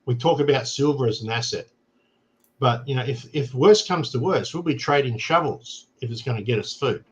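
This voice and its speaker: A man's voice: mid-range voice, moderate pace, muffled recording. An Australian man hosting a casual talk show, explaining things plainly and often checking in with "right?". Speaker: show host. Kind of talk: casual chat.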